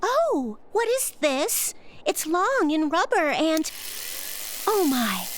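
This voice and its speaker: Slow, very high naive ingenue played by a woman. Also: sing-song, exaggerated voice. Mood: curious delight. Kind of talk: radio comedy acting. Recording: clear background noise.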